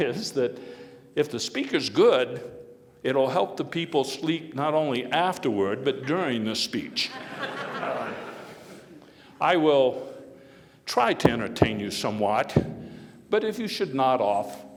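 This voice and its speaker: A man playing a genial, self-deprecating old statesman who tells stories in a halting, folksy after-dinner speech. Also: on the high side. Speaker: historical reenactor giving a speech. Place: large indoor hall before an audience. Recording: echoey hall, noisy live recording.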